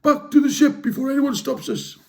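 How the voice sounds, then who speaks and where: A man voicing a bossy male pirate chief who barks orders, pitched up high in a children's story reading. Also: big pitch swings, a little fast.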